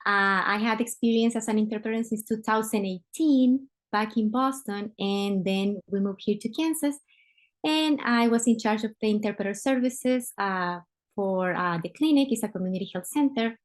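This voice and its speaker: A woman's voice: medium pitch, moderate pace. Guatemalan woman presenting on a webinar. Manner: frequent "uh" fillers, conversational. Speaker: guest speaker, medical interpreter. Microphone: computer mic on a video call.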